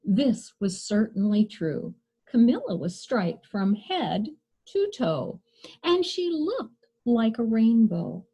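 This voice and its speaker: A woman with a high, slightly husky voice hosts a children's storytime. Her reading is unhurried and very animated, pitched clearly for little listeners, with an expressive storyteller's delivery. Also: rough recording. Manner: expressive, deliberate, addresses young listeners with simple questions. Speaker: children's librarian, storytime host. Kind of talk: read-aloud picture book storytime for young children.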